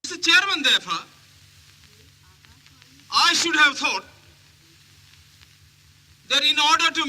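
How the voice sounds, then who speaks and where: Very high, piercing man in formal address. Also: sing-song, pushed and bright, rough recording. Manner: measured, deliberate oratory.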